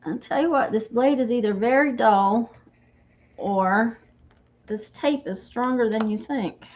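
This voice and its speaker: Slightly croaky woman chatting while crafting. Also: on the high side, a little slow, a little mellow, dull audio. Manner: chatty, greets viewers by name while working. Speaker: craft livestream host. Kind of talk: casual chat while crafting.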